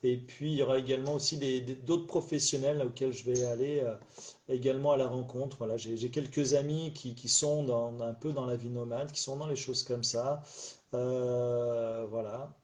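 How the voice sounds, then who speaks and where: Middle-aged man, live-stream host, stuffy from a cold, warm, relaxed tone, halting delivery full of fillers. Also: mid-range voice. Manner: rambling, hesitant, many fillers and restarts. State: slightly stuffy after a cold. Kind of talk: casual solo live chat. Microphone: phone or webcam on a live stream.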